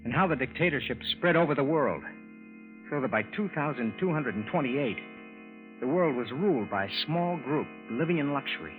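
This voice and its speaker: A man's voice: mid-range voice, lively intonation, moderate pace, natural voice. A man acting a folksy, skeptical, bewildered American husband and narrator in an old radio drama, in a colloquial style. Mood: reflective narration. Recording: tinny vintage radio recording, music behind.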